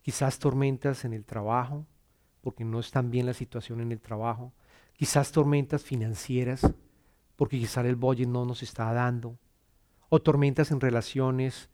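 A middle-aged man from Colombia with a Bogotá accent, speaking in church and telling his personal testimony in an earnest, halting way, often repeating words and restarting. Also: mid-range voice, fairly even intonation, dark tone.

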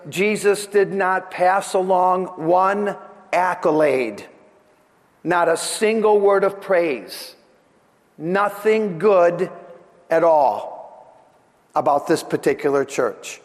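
A long reverb surrounds this man as he preaches to his congregation. His voice sits somewhat high for a man and sounds slightly off from a recent throat infection. He speaks deliberately and earnestly, leaving pauses for emphasis. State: voice slightly off after strep throat. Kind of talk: sermon. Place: large church hall during a service.

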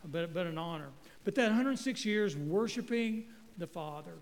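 A middle-aged guest pastor from North Carolina, preaching in a folksy, joking way with stumbling restarts. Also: male voice, sits high, animated, moderate pace, long reverb tail. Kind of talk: sermon. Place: church sanctuary. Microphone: handheld microphone.

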